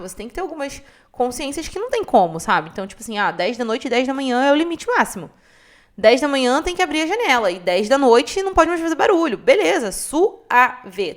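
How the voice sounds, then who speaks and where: A young woman from Rio de Janeiro with a Carioca accent, hosting a podcast and telling her own story in a chatty, expressive way that keeps turning to her listeners. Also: a little high. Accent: Carioca Brazilian Portuguese. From Rio de Janeiro, Brazil. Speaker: podcast host. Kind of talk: casual storytelling monologue.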